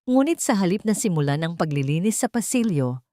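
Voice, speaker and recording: A woman narrating a dramatic scripted story in a measured, solemn, melodramatic way. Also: fairly low pitch, sing-song, mellow, no echo, clean studio sound.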